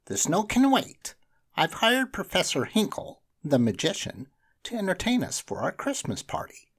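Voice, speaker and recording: A reader voicing a bossy female schoolteacher in a storybook, loud and commanding. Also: medium pitch, huge pitch swings, moderate pace, husky, pitched above the performer's own voice, exaggerated voice.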